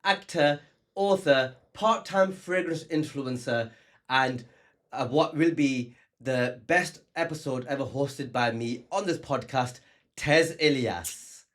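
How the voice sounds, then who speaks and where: A man with a mid-range voice hosts a comedy podcast, joking and teasing in relaxed chat. His talk is playful and boastful, with a casual, easy flow. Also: medium pitch, slow pace. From England. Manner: playful, teasing, self-aggrandizing. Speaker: podcast host, stand-up comedian.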